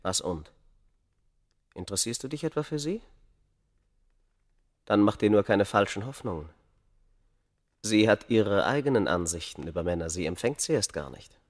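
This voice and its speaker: An evasive scholar of ancient Egypt in a radio play. The voice is fairly low, the diction formal, and the manner guarded and tense, as if holding something back. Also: male voice, expressive, normal speed, long pauses. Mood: bitter, defensive.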